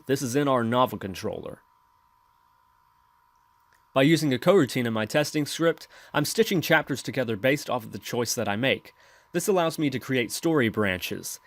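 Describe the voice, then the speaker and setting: A man hosting a software tutorial, explaining steps in scripted voice-over narration. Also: mid-range voice, dry recording. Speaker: tutorial host.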